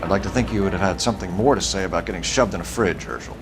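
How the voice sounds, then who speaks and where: An American actor playing an earnest 1940s police detective in a crime game, with a measured, formal, terse delivery. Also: male voice, sits low, background noise. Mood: dry, investigative. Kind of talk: video game dialogue, crime drama.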